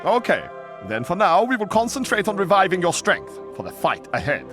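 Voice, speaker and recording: An actor playing a vain potion-giver in a comic fantasy game, with a German accent and a brisk, comic manner. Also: male voice, high-pitched, huge pitch swings, music in the background. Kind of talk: video game cutscene acting.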